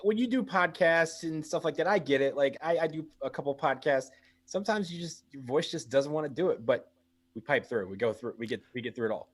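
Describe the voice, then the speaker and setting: A man's voice: a little high, fairly quick. Man, frank fan talk. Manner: frank, conversational. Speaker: podcast guest, superfan. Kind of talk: casual chat.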